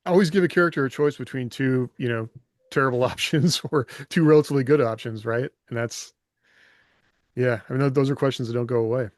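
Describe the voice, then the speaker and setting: A man's voice: mid-range voice, brisk, no echo, studio quality. A man hosting a podcast, putting questions to the group in a casual, drily joking way. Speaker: podcast host reading listener questions. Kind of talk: casual chat.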